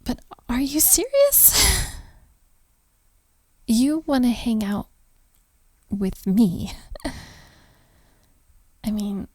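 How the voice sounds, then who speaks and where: Girl acting as flustered shy hotel maid, audio roleplay, soft mellow voice, super slow n hesitant, close dry sound, kinda giggly. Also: a little high, very animated, dry recording, exaggerated voice. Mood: flustered, self-deprecating. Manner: hesitant, halting, giggly, softly breathy. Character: flustered, self-deprecating young hotel maid warming to a stranger. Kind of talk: intimate audio roleplay, one-sided conversation.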